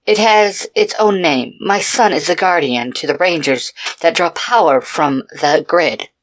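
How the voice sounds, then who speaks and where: A woman playing a serious, uneasy female guardian in a fan fiction audio drama, speaking bluntly and deliberately. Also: fairly low pitch, close to an everyday voice, poor audio.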